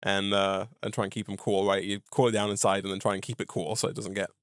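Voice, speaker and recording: A man's voice: lowish voice, flat delivery, fast, clear, resonant tone, dry recording. Casual male podcast co-host, dry sound. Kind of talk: casual chat, reacting to his co-host.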